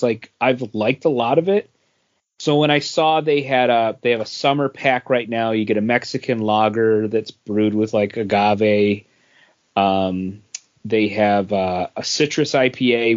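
A man's voice: lowish voice, darkish tone, no room sound. Man chatting casually, dry lo-fi sound. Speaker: podcast co-host, works at a beer store. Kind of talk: casual podcast chat, informal beer review. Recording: dull, lo-fi podcast audio.

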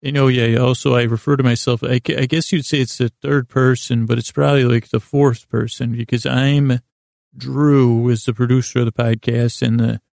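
Gravelly guy doing a soothing bedtime podcast, rambles and repeats himself, very dry close sound. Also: medium pitch, no room sound. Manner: lulling, soothing, calm; hesitant, halting, circles back and repeats himself. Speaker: host of a sleep podcast. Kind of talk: meandering, rambling bedtime monologue.

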